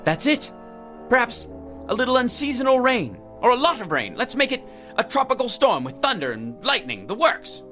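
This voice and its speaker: An actor playing a fussy, lonely, self-satisfied office worker who dislikes people, in theatrical audio drama style. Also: male voice, extremely high pitch, big pitch swings, moderate pace, pushed and bright, soft background music, heavily acted. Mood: musing, scheming. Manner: pompous, fussy, muttering to himself.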